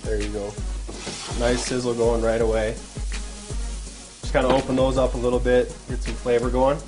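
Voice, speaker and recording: A man's voice: medium pitch, moderate pace, music in the background. A young man hosting a cooking show, talking casually step by step as he cooks in a home kitchen. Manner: relaxed step-by-step narration while cooking. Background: frying and sizzling from the pan.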